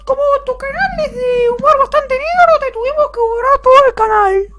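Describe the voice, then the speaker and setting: A young man voicing an effeminate, fussy man in a squeaky falsetto. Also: normal speed, pushed and bright, slight background noise, full character voice. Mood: officious.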